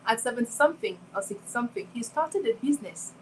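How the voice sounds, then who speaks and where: A young woman in her twenties with a slightly high voice talks through a reflective motivational monologue, circling back to the same questions again and again. A faint hum of room noise sits underneath her. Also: animated, fast talker, low-quality recording. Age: 20s. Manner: reflective, poses repeated rhetorical questions. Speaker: podcast host. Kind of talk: solo motivational talk.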